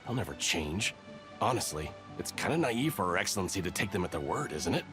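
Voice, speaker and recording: A grumbling, suspicious soldier. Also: male voice, low-pitched, big pitch swings, a little fast, over music. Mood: indignant. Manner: indignant, complaining.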